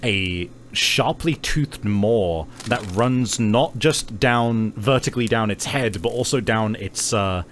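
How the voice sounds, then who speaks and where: Guy running a tabletop rpg, lowish voice, describes scenes haltingly, bit of background noise. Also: a bit bright. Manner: halting, conversational descriptive storytelling. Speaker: game master. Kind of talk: narrating a tabletop roleplaying game.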